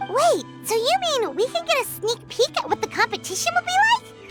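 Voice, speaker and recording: A woman voicing a tiny, excitable fairy companion in a video game, with a squeaky childlike voice and an exclamatory, chatty manner. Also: normal speed. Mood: amazed. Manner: speaks of herself in third person, exclamatory. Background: music.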